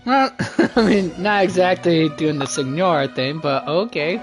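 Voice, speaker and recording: A man livestreaming a game with casual, halting, exclamatory commentary, teasing back at what happens. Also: fairly high pitch, gravelly, low-quality recording. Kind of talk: casual live gameplay commentary. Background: faint game music.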